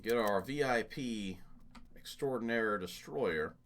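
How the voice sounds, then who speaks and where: A man's voice: fairly low pitch, expressive, takes it slowly, a bit bright, a little hiss or hum. A man giving casual, jokey let's play commentary, muttering to himself as he goes, as the host of a gaming channel.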